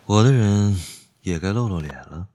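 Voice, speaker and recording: Man playing a cool, low-voiced young heir. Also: lively intonation, normal speed, mellow, lightly acted. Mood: brooding, sardonic. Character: cool, shrewd young heir reborn and plotting payback. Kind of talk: modern radio drama acting. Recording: long reverb, light music underneath.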